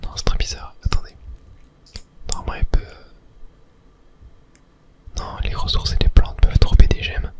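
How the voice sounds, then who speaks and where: Hushed whispered ASMR gaming commentary. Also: normal speed, long pauses. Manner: casual, tentative thinking aloud. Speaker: gaming video host.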